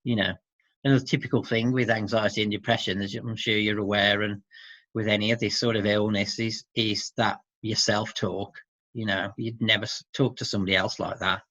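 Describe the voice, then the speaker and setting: Low-voiced man, muffled roomy interview. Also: little pitch movement, brisk, fairly bright tone. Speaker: interviewee, support service worker for dads. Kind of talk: informal interview answers.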